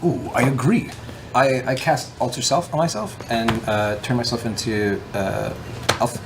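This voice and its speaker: A man's voice: medium pitch, a little slow, over music. A man playing a tabletop game in casual, improvised talk.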